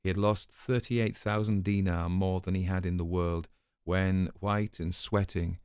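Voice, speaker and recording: A man narrating a crime story from a script in a measured, articulate, dramatised way. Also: sits low, steady tone, darkish tone, clear, resonant tone, no room sound, clean studio sound.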